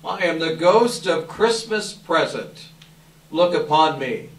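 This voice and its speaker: A jovial giant ghost of Christmas, acted by a man, hearty and booming out loud with a raised pitch. It is a live stage reading in a very echoey space. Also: animated, slow. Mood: hearty welcome. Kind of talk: readers theater stage reading. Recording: echoey hall from far off.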